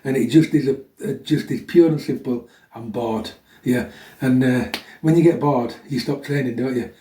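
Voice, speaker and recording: A man's voice: medium pitch, normal speed, dark tone, a little hiss or hum. A middle-aged man chatting casually and bluntly to viewers as a fitness vlogger, a little chesty from a recent infection and often tagging sentences with "yeah?". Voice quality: slightly chesty. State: getting over a chest infection. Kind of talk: casual chat to viewers introducing a workout. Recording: echoey room, mic at a distance.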